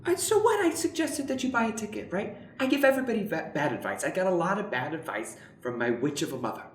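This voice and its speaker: A man's voice: high-pitched, big pitch swings, quick pace, pitched above the performer's own voice. A man playing a very ugly, brash warlock who hands out bad advice, in an improvised radio play. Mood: defiant. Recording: roomy sound with faint background noise.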